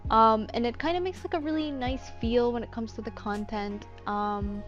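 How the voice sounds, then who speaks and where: A woman's voice: a little high, moderate pace, lo-fi sound, faint music behind. A woman talking casually and unscripted through a tutorial, explaining things in a rambling way. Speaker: voice actor giving a tutorial.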